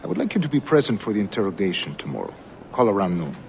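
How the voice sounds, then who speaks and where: An actor playing a commanding, calculating governor and grandmaster in a video game, speaking formally and with measured grandeur. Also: male voice, lowish voice, huge pitch swings, vocal fry, noisy background, heavily acted. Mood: measured, courteous. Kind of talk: video game cutscene acting.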